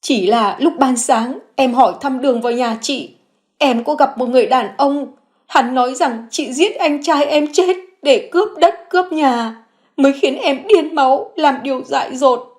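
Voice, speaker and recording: A woman voicing a remorseful middle-aged sister-in-law who begs for forgiveness in a high, tearful voice. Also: moderate pace, close to an everyday voice. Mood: pleading. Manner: begging, shrill and tearful.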